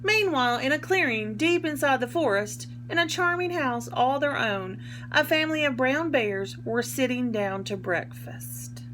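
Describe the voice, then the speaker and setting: A woman reading a picture book aloud to young children like a teacher, expressively, pausing to explain words in simple terms. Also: high-pitched, animated, a bit bright, slight background noise, low-quality recording.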